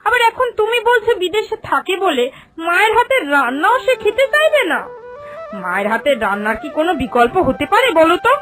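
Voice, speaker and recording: A woman voicing an anxious, devoted middle-aged village mother in a cartoon, expressive and emotive. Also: very high voice, fairly quick, music in the background. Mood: upset, protesting. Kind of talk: animated cartoon dialogue.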